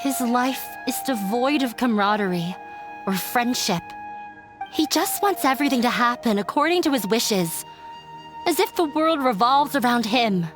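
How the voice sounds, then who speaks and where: Actress playing a young woman, high voice, weary and hurt, quiet resigned telling, faint game music behind. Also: expressive, moderate pace, lightly acted. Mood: bitter.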